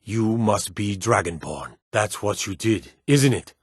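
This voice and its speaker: A man's voice: fairly low pitch, expressive, measured pace, breathy edge, dry recording, clean studio sound, exaggerated voice. A man playing an earnest, superstitious Nord town guard and soldier in a fantasy video game, speaking in a plain, hardy way. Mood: awed.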